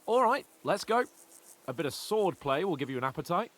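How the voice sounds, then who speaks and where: A man's voice: fairly high pitch, very animated, a little fast, fairly bright tone. An actor playing a cocky, teasing young nobleman in a game cutscene, joking and boastful with his friend. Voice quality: playful, mocking.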